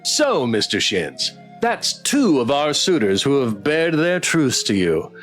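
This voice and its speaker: A man as a showy, lively dating-show host. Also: mid-range voice, slow, faint music behind, heavily acted. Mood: playful teasing. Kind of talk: visual novel character read-aloud.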